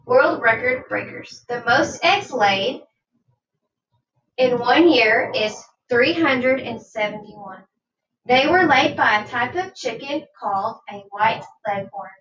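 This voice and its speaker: A woman reading a nonfiction children's book aloud like a teacher, deliberate and instructive, with questions to her young listeners. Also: on the high side, animated, fairly bright tone. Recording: dull, roomy sound, distant from mic.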